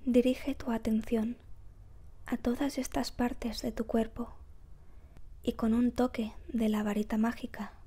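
A woman guiding a meditation in a soft, breathy, whispery voice, calm and gentle, with measured phrases and long pauses. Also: on the high side. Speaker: meditation guide. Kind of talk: slow guided meditation narration.

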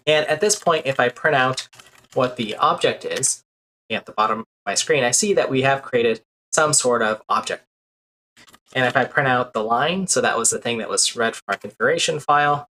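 A man's voice: medium pitch, bright, forceful tone, slight background noise, poor audio. A man in his thirties teaching a programming lesson while sharing his screen, explaining step by step with frequent "uh" fillers, at a desk in a small room. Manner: explanatory, many "uh" fillers while working through code. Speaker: programming instructor. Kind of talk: screen-share coding lesson.

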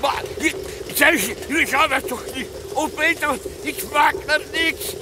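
High male voice, slow, theatrical, exclamatory comic reading, loud sound effects behind. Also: very noisy. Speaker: comic book reader. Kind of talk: animated read-aloud of a comic, doing character voices.

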